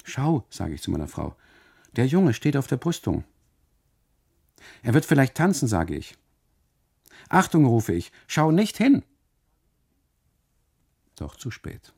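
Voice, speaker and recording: An actor playing an uneasy husband on holiday in a literary radio monologue, narrating inwardly and haltingly in a gravelly, husky voice. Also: male voice, mid-range voice, wildly swooping pitch, a little mellow, exaggerated voice. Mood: alarmed. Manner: halting, brooding, deliberate phrasing with repetitions and dramatic pauses. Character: uneasy German husband on holiday, obsessively narrating his story.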